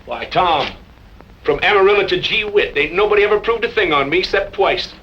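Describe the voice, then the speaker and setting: Casting: a man as a sly, taunting outlaw, higher-set animated voice, old radio western, muffled recording. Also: fairly quick, a little hiss or hum, lightly acted. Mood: sly, mocking. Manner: mocking, drawling feigned innocence. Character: sly, taunting cow thief gunman in the Old West.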